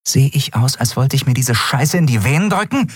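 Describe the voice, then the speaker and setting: A man voicing a hot-tempered young woman adventurer with a sharp, angry manner, in an audiobook. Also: fairly high pitch, very animated, moderate pace, husky, no echo, clean studio sound, voice pushed up.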